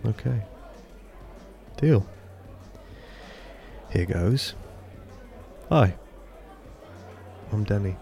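Nervous irish guy on a date, lowish voice with lots of fry, awkward self-mocking jokes. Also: lively intonation, drawn-out words, pauses often, dark tone, a faint crowd, full character voice. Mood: tentative. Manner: hesitant, joking. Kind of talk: audio drama acting, naturalistic dialogue.

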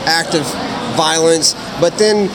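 A man's voice: on the high side, slow, crowd noise. A chatty man co-hosting a casual conversation, laughing easily and using lots of fillers, recorded outdoors at a convention with noise behind him. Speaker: podcast co-host. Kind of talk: casual chat.

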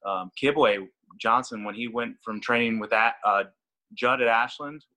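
Bright-voiced stammering man. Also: medium pitch, measured pace. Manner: stammering, repeats words, laughs easily. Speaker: throwing coach. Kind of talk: casual podcast chat.

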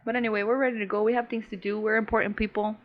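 A woman's voice: mid-range voice, level tone, rapid-fire, dull audio. A woman co-hosting a podcast in casual chat, with giggly, crude banter. English is not her first language. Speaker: podcast co-host.

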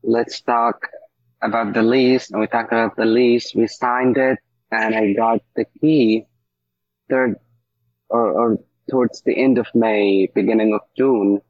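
A man from Syria, a native Arabic speaker, telling his story casually as an interview guest, halting as he searches for words. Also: medium pitch, fairly even intonation. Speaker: coffee shop owner, interview guest. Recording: dull, muffled lo-fi audio.

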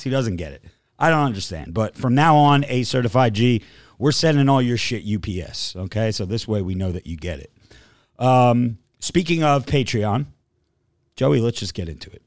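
A man's voice: medium pitch, animated, a little fast, dry recording, clean studio sound. A man hosting a casual livestream podcast, rambling a little and reading out a long list of supporter names. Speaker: podcast host.

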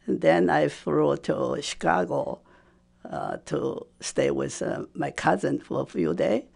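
Slow, gravelly older woman. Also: low voice, darkish tone. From Taiwan. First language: Japanese. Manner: hesitant, many pauses and fillers. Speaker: oral history interviewee. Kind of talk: personal reminiscence.

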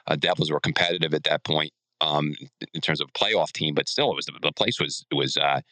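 A man's voice: extremely low pitch, moderate pace, sharp tone, no echo. A man hosting a casual sports chat in an informal, conversational way. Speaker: podcast host.

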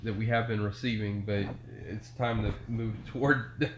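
A man's voice: fairly low pitch, fairly even intonation, moderate pace. A man co-hosting a casual podcast, congested from a cold and talking in an easygoing, joking, rambling way. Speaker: podcast co-host. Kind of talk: casual chat. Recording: echoey room with faint noise.

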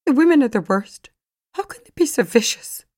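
Woman narrator as young actress, wounded, indignant, raised pitch, audiobook dialogue. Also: lively intonation, brisk, a little mellow, no echo, studio quality. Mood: distraught, indignant. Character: hurt, indignant young actress pleading with her sister.

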